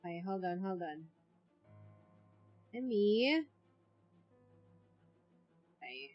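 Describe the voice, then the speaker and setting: A woman's voice: mid-range voice, very animated, drawn-out words, no echo, muffled recording. A woman with a Filipino accent giving casual live commentary as she streams a game. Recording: game music behind.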